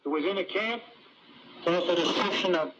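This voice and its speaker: A man preaches earnestly in a fairly high voice. He comes through a muffled shortwave signal. Faint hiss sits beneath him. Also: normal speed, a bit bright. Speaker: radio preacher. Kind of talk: religious broadcast talk.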